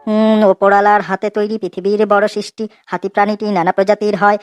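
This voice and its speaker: A woman's voice: medium pitch, flat delivery, quick pace, a little mellow, smooth, dry recording, studio quality, natural voice. A woman voicing a cheeky, eager schoolboy who speaks hesitantly in a comedy classroom cartoon. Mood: earnest reciting. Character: cheeky schoolboy reciting silly verse and answers in class. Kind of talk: comedic cartoon dialogue, singsong recitation.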